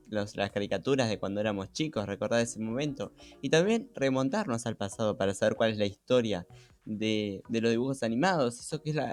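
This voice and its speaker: A man's voice: mid-range voice, no echo. A man co-hosting a podcast, explaining things in a conversational way. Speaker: podcast co-host. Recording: music behind the voice.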